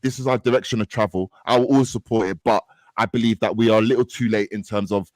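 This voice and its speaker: A man chatting about football, animated and repetitive, his voice leaping up when worked up. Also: fast, no echo. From Nigerian heritage. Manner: animated, repeats himself, jumps high in pitch when excited. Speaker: show host. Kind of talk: casual football chat.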